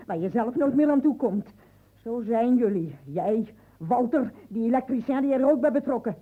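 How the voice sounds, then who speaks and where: A woman's voice: on the high side, lively intonation, normal speed, husky. A woman acting a bitter, accusing woman who taunts the men around her in a Dutch radio play. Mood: bitter, taunting. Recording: muffled phone-like old radio recording.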